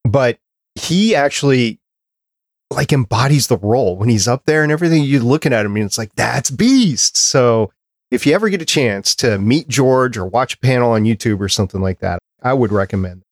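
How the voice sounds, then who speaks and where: A man's voice: medium pitch, animated, normal speed, no echo, clean studio sound. A man hosting a podcast in casual, conversational panel chat. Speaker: podcast host.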